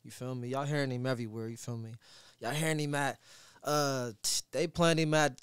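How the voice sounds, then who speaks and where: A man in his thirties hosting a podcast in a small studio, talking in a relaxed, slangy way into a boom mic. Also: brisk, clear, resonant tone, no echo. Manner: laid-back slangy talk, relaxed hype. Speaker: podcast host. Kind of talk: casual interview. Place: small studio with foam wall. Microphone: studio mic on boom arm, headphones.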